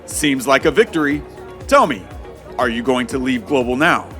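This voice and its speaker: Charming billionaire husband, mid-pitched, calm and possessive, romance audio drama with music beneath. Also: male voice, moderate pace. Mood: confident, teasing. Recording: music bed under the voice.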